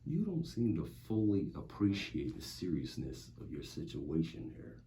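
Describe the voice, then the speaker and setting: A man's voice: sits low, huge pitch swings, moderate pace, mellow, full character voice. A man at a table read playing a righteous, sardonic law agent who interrogates in a pointed, accusatory way. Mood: exasperated. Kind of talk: acted screenplay table read. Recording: noticeable background noise.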